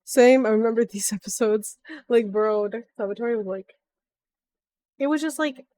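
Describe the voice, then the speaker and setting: A woman's voice: a little high, normal speed, mellow. A woman streamer reacting to a video in casual chat, blunt and informal with slangy swearing.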